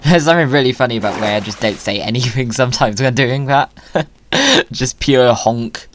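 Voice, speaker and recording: A man's voice: medium pitch, normal speed, slight background noise. A man giving casual, playful gaming commentary with whimsical wording. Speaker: video creator.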